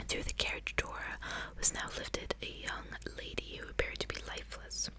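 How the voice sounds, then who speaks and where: A woman speaking in a breathy whisper, reading aloud in an ASMR style. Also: normal speed. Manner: casual playful asides between read passages. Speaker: ASMR host.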